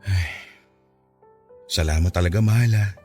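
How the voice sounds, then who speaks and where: An actor with a Filipino accent playing an aging, hardworking and devoted farmer husband in a radio drama, earnest and affectionate. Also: male voice, low voice, moderate pace, warm tone, soft background music, natural voice. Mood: apologetic, grateful. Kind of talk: Tagalog radio drama acting.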